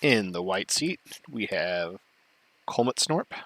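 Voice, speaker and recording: A man's voice: low voice, slow, low-quality recording. A man hosting a board game tournament stream, introducing players and chatting casually with his viewers. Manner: relaxed, chatty, loose asides to chat.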